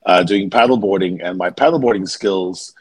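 A man co-hosting a rugby podcast who is hoarse from losing his voice, talking in a wry, joking way with halting fillers. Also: sits low. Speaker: podcast co-host. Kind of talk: casual podcast chat.

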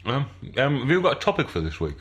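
A man's voice: mid-range voice, very animated, quick pace, a bit bright. A man co-hosting a podcast in casual banter, with a jokey manner and crude puns. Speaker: podcast co-host.

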